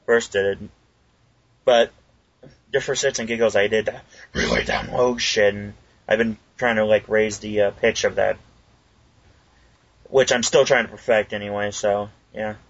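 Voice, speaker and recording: A man who voices fan dubs, chatting casually, halting with fillers and swearing. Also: lowish voice, expressive, gravelly. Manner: hesitant, sweary. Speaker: fan dub voice actor. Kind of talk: casual podcast chat. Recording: dry, dull lo-fi recording.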